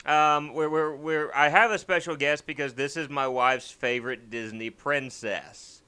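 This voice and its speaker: Bright-voiced man rambling through gameplay. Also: on the high side, fairly even intonation, a little slow, smooth. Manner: rambling, joking, repeats words. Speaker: gaming channel host. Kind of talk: casual let's play commentary.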